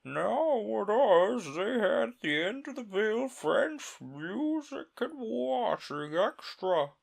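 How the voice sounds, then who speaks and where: A reader voicing a sorrowful, sobbing male Mock Turtle in a storybook reading, with a slow, mournful drawl. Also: mid-range voice, very animated, creaky, pitched above the performer's own voice, exaggerated voice.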